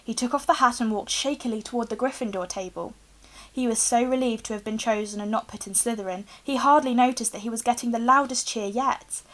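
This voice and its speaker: A young woman reads a storybook aloud in an expressive narrating style. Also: fairly high pitch, brisk, rough recording. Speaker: reader, teacher. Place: small room indoors with bookshelves.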